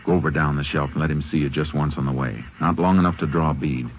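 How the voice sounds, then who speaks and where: An American actor in a 1950s radio drama playing a steady, terse, authoritative Texas Ranger who also narrates the manhunt. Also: male voice, rumbling low, fast. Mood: low, focused. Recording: muffled, noisy 1950s radio recording.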